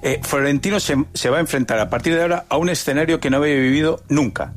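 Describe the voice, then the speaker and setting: A man's voice: a little high, fast, soft background music. A man giving emphatic football analysis on a talk show, repeating phrases for rhetorical effect. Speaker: sports pundit on a panel.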